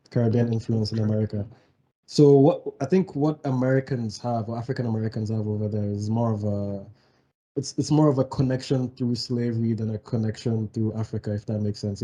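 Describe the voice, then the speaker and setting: A man's voice: on the low side, dark tone, husky. A young man from Nigeria co-hosting a podcast, talking bluntly and at length in casual discussion. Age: young adult. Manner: blunt, explains at length with restarts. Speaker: podcast co-host.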